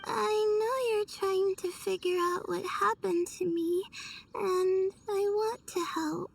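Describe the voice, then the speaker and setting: A woman playing a timid, exhausted young scholar in a video game, hesitant, fragile and apologetic, with halting murmured pauses. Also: very high voice, fairly even intonation, creaky, far from the mic, slight background noise, heavily acted. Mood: muddled, apologetic.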